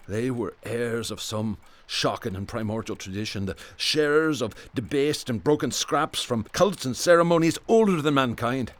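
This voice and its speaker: A man's voice: mid-range voice, expressive, normal speed, few pauses, close to an everyday voice. A man playing a brooding, bookish Irish police detective who speaks in ornate, literary phrases in a radio drama. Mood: haunted.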